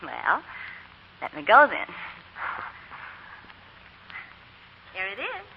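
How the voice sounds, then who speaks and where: An actress playing a headstrong, spoiled young belle in a 1940s radio drama, theatrical and coquettish. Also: female voice, medium pitch, huge pitch swings, moderate pace, creaky, a little hiss or hum. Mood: coy, teasing. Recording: muffled 1940 radio broadcast, hall reverb.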